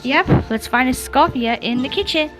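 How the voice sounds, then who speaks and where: A woman streaming a game, reading dialogue aloud and commenting casually in a halting way. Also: sits high, expressive, loud music behind the voice. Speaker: gameplay streamer.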